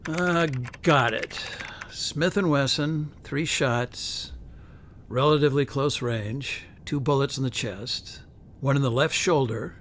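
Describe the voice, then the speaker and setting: Terse, weary lawyer, noisy recording. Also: male voice, slow, a bit bright. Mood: terse, impatient. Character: male lawyer, driven, weary and impatient. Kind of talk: radio drama acting. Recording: rumbling background noise.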